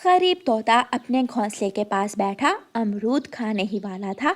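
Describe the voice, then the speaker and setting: Animated, high-voiced woman storyteller. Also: measured pace. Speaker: narrator. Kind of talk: scripted children's story narration.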